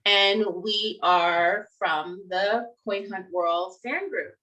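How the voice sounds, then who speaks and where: Slow-talking, chatty young woman co-host. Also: medium pitch, bright, forceful tone, dull audio. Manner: chatty, giggly, interviewing her co-host. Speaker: co-host, game fan group. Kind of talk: casual livestream chat.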